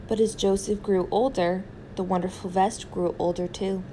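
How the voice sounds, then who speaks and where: Female storytime reader, mellow mid-pitched voice, narrating a picture book, slight background noise. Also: fairly even intonation, moderate pace, poor audio.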